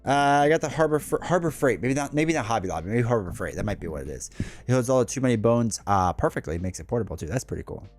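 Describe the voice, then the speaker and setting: A man's voice: mid-range voice, lively intonation, quick pace, soft background music. A man with a stuffed-up cold, chatting in a rambling, casual way while he streams a solo board game live from home. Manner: rambling, chatty, full of asides and fillers.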